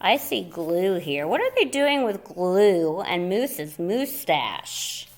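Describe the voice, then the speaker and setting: A woman reading a children's picture book aloud in a theatrical, playful way, with dramatic pauses and little asides for young listeners. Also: on the low side, sing-song. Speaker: storyteller.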